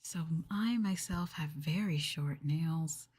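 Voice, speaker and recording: A woman streaming ASMR, talking softly and hesitantly to a live chat, often slipping into a breathy whisper close to the mic. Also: low voice, takes it slowly. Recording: noisy, with clear hiss and clicks.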